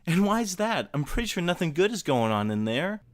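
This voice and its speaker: A man's voice: a little high, very animated, fairly quick, pitched above the performer's own voice. A man voicing an earnest, curious young female cartoon pony with an eager, questioning manner. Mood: concerned.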